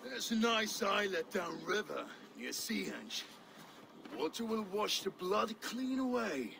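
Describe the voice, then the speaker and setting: An actor playing a boastful, scornful Danish Viking steward, gravelly and theatrical in his taunts, in a video game cutscene. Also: high-pitched, very animated, slow pace, full character voice. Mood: grudging, menacing. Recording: game ambience, clear background noise.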